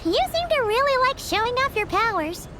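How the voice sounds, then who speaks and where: Tiny excitable game fairy girl, squeaky and sky-high, very lively intonation, cheeky. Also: moderate pace, a little hiss or hum, heavily acted. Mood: curious, cheeky. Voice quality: squeaky, childlike. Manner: chirpy, cheeky, refers to herself in third person. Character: small excitable floating fairy companion, childlike girl. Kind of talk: video game character dialogue. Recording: music playing under the voice.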